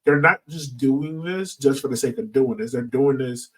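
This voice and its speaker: A man from the American South with a medium-pitched voice discusses things casually on a sports podcast. He thinks aloud as he explains, and he sounds somewhat distant from the microphone. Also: normal speed. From southern United States. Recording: distant from the mic.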